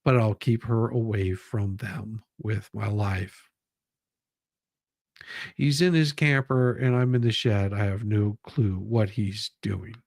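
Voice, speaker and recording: A man with a slow, measured pace reads documents aloud and adds his own commentary. The sound is close and dry, and his delivery is halting, often circling back over phrases. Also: fairly low pitch, darkish tone, dry recording. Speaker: commentary show host.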